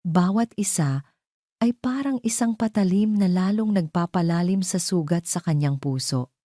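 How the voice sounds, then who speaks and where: A Filipino-accented woman narrates a romance audio drama. Her voice is low and mellow, recorded very dry. She tells the story in a measured, dramatic way, as a scripted storyteller. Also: no room sound.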